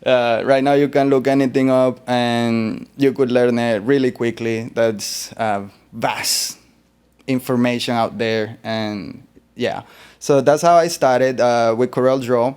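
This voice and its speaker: A man from Colombia, a guest artist in an interview, talking in a relaxed, rambling way with fillers. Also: medium pitch, slow, smooth. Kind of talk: interview conversation.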